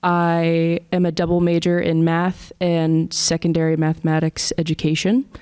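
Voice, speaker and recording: A woman's voice: on the low side, completely flat delivery, moderate pace, a little mellow, clear, resonant tone, dry recording, clean studio sound. A woman of about twenty from Indiana with an American accent, speaking informally as a student panelist. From Indiana, United States. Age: around 20.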